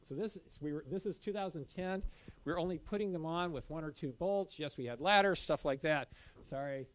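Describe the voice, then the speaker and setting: A middle-aged man giving a casual slide talk in a dim lecture room, with many restarts and fillers. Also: a little high. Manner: loose, halting, frequent restarts and fillers. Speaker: caving club presenter.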